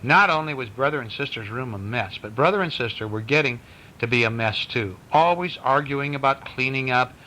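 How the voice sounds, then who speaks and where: A man reading a bedtime story at home in a conversational, expressive way, with casual asides to a child. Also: a little fast. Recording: noisy home recording with low rumble. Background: small child chiming in.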